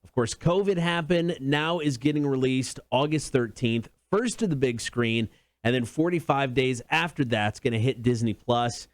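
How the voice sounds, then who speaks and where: A man's voice: medium pitch, moderate pace, no room sound. A man co-hosting a casual entertainment talk show, chatting informally. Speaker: show co-host.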